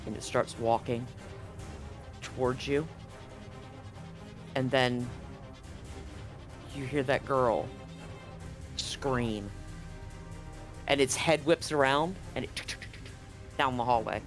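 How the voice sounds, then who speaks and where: A man working as game master, improvising narration for a tabletop roleplaying game in a halting, deliberate way. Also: medium pitch, faint music behind. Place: live-streamed game session.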